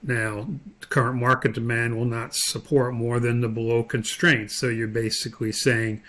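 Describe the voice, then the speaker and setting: Male course instructor, medium pitch, unscripted screen-share walkthrough, informal explanatory delivery with frequent pauses. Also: little pitch movement, fairly bright tone. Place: indoors, voice-over for screen recording.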